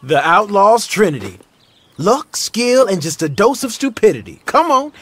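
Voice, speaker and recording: A man's voice: high voice, big pitch swings, moderate pace, fairly bright tone, heavily acted. A young man with an American accent playing a cocky, playful outlaw who banters boastfully in a video game. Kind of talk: dramatic video game cutscene acting.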